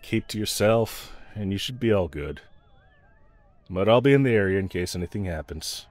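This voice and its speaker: A man's voice: on the low side, lively intonation, moderate pace, darkish tone, natural voice. A man playing a snarky, pragmatic yet protective mercenary escort in a fantasy audio roleplay, talking to the listener in a wry, teasing, laid-back way. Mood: reassuring.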